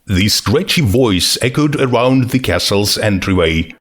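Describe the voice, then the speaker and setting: Slow, expressive male storyteller. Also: fairly low pitch. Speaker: narrator of fan fiction. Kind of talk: scripted story narration.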